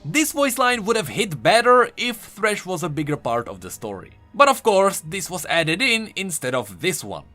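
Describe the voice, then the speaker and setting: A man's voice: high voice, big pitch swings, normal speed, few pauses, bright, forceful tone, faint music behind. A man doing an enthusiastic, conversational voice-over commentary as a video host. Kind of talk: game lore commentary voice-over.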